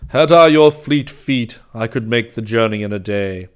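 A man's voice: medium pitch, moderate pace, clean tone, close to an everyday voice. A man voicing a merry, eager old toy maker in a hearty, cheerful way for a storybook reading.

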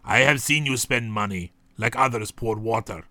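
A man reading aloud voices a cold, calculating male robber who speaks slowly and threateningly. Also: mid-range voice, bright, forceful tone, dry recording, lightly acted. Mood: menacing.

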